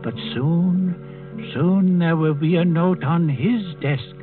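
An actor playing an old Danish servant and secret resister, telling his story deliberately in a 1940s radio drama. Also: a little high. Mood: quietly triumphant. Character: old Danish servant, secret underground plotter, quietly defiant. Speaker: first-person storyteller in a radio play. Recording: muffled 1940s radio recording with music.

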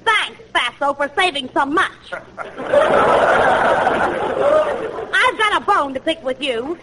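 High-pitched brassy scolding girlfriend. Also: very animated, takes it slowly, a loud crowd, heavily acted. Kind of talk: 1940s radio comedy acting. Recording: noisy old radio before live audience.

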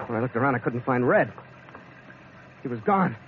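A man's voice: medium pitch, animated, normal speed, lightly acted. An actor playing a tough male sea captain who narrates his own story in a blunt, clipped way. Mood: narration. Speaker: narrator and lead. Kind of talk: 1940s radio acting. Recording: dull old radio broadcast, loud hiss.

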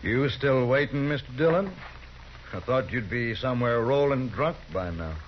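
An actor playing a cocky, mocking outlaw gang leader in a 1950s radio drama. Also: male voice, medium pitch, expressive, normal speed, muffled recording, a little hiss or hum, close to an everyday voice. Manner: mocking, smug.

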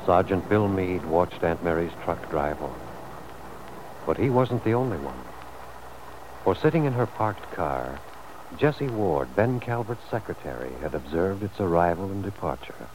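A man's voice: deep voice, very animated, unhurried. A man narrating a vintage radio soap opera from a script. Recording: noisy old radio recording.

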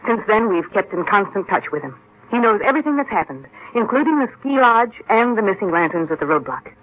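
Composed stepmom, firm, low-ish for a lady, old radio drama, tinny sound. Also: big pitch swings, fairly quick. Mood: earnest, composed. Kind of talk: 1940s-50s radio drama acting.

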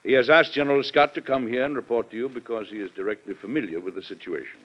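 A man's voice: medium pitch, fast, breathy edge, lightly acted. An actor playing a stern, weary President and exasperated father in an old-time radio drama, speaking formally and reproachfully. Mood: grave, formal. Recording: dull lo-fi vintage radio recording.

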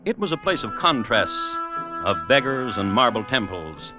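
A man hosting and narrating in 1950s radio style, with a dry, droll, deliberate, mock-formal delivery. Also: mid-range voice, sing-song. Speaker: host and narrator. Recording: old radio recording with music behind. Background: music.

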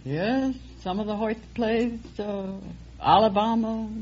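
A woman's voice: mid-range voice, slow pace, darkish tone. A playful woman in her eighties from Philadelphia, chatting casually as a contestant. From Philadelphia, United States. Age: 80s. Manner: playful, chuckling. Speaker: contestant, former stage and film actress. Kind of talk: casual interview chat. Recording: muffled vintage broadcast, audience, faint noise.

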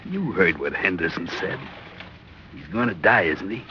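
An actor in an old radio drama playing a sardonic, mutinous merchant sailor with a wry, needling manner. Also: male voice, low-pitched, animated, moderate pace, bright, forceful tone. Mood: bitter, conspiratorial. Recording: noisy muffled old radio recording.

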